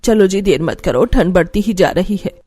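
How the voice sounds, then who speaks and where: A woman's voice: mid-range voice, expressive, moderate pace, dark tone, slightly rough, no echo. A woman voicing a stern but kindly older mother-in-law in a Hindi cartoon story. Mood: brisk.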